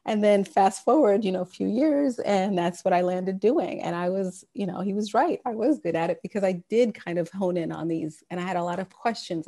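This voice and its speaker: A woman's voice: on the low side, gravelly, a little mellow. A woman in her thirties from Sudan giving a casual talk over a video call from her home office, with plenty of fillers and restarts. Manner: conversational, many fillers and restarts. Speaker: guest speaker. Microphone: wired earphones on a video call.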